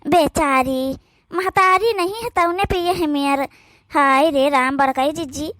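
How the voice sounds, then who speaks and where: A woman voices a sharp-tongued, gossipy sister-in-law from an Awadhi cartoon, high and exclaiming. Her voice is bright and shrill, recorded bone dry at close range. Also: a little slow, no echo. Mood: gossiping. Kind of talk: cartoon voice acting.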